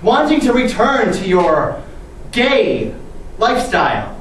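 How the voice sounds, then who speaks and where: A man's voice: high-pitched, huge pitch swings, slow, fairly bright tone. Young man acting out readers theatre lines, theatrical, distant mic, big echo. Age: young adult. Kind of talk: scripted ensemble stage storytelling. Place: live stage performance in a hall. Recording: echoey hall from far off, faint noise.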